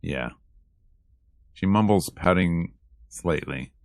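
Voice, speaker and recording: A man's voice: low-pitched, animated, takes it slowly, gravelly, dry recording. A man reading a visual novel aloud in a casual, slangy let's play style, moving between narration and dialogue.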